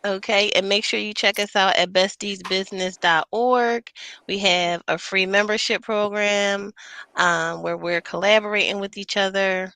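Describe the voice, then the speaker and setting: A woman hosting a podcast in a casual, slangy, animated chat, often laughing. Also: mid-range voice, moderate pace, clear, resonant tone. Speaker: podcast host.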